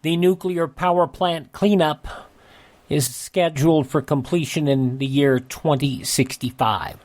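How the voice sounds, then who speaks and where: A man's voice: fairly high pitch, steady tone, measured pace, darkish tone. A man hosting a radio show, reading a scripted narration of historical events.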